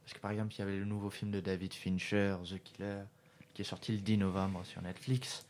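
Low-voiced man hosting a radio show. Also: a little fast. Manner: relaxed, talks straight to listeners. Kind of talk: casual radio chat.

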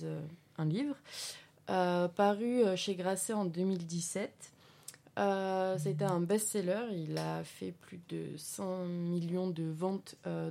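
A woman's voice: on the low side, gravelly, dark tone. A woman reviewing a film in a casual radio chat, hesitant and full of fillers.

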